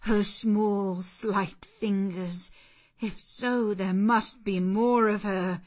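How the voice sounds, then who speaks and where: A woman voicing a blind, brooding middle-aged gentleman in a story reading, with imperious and impassioned demands. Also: medium pitch, steady tone, extremely slow. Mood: overwhelmed.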